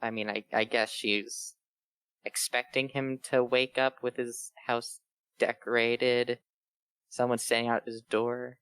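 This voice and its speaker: A woman's voice: a bass voice, level tone, slow, clear, resonant tone, dry recording. A woman reading fan fiction aloud and riffing on it casually with friends. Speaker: fan fiction reader and commentator.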